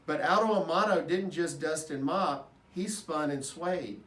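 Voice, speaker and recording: A man reads a children's story aloud with expression. His voice sits a little high, and it sounds roomy, set back from the microphone. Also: unhurried, a bit bright. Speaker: storybook reader at an elementary school. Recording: roomy echo, distant microphone.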